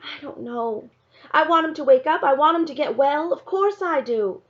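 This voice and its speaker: A woman's voice: high voice, moderate pace, highs cut off, pitched above the performer's own voice, lightly acted. A woman voicing a weary, earnest mother in a storybook read-aloud, pleading and emphatic. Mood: worried, pleading. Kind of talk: storybook character dialogue.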